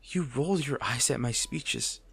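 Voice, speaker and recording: A man playing an anguished, possessive villain in an intimate audio roleplay monologue, halting and brooding, his gravelly voice often slipping toward a whisper. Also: medium pitch, animated, fairly bright tone, pitched above the performer's own voice, exaggerated voice. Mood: accusing, intense. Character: anguished, possessive male villain at rival hero's bedside.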